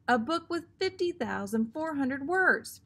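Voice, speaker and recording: A woman's voice: sits high, lively intonation, a little slow, bright, forceful tone, pitched above the performer's own voice, full character voice. A woman voicing a dramatic, pleading young schoolboy from a children's storybook. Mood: glum. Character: reluctant young schoolboy, dramatic, absorbed in books.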